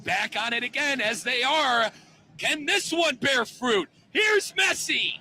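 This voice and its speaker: A man calling a live soccer match as an excited play-by-play commentator. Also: very high voice, slow pace. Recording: loud stadium crowd noise. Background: roaring crowd.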